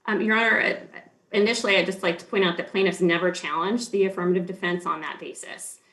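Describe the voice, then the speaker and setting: A man arguing for the state before an appeals court, formal and measured, with frequent ums and small restarts. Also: high voice, steady tone, sharp tone, low-quality recording. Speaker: state's attorney. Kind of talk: appellate oral argument.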